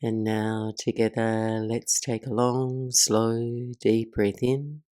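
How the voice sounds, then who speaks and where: A woman leading a guided meditation as the teacher, speaking in a soothing, measured way with soft pauses. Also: a bass voice, flat delivery, no echo.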